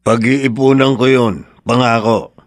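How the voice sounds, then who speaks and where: A man's voice: medium pitch, fairly even intonation, a little slow, dry recording, clean studio sound, lightly acted. An actor playing a middle-aged, hard-drinking father in a Filipino radio drama, hot-tempered yet doting, colloquial in manner. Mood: tender, apologetic.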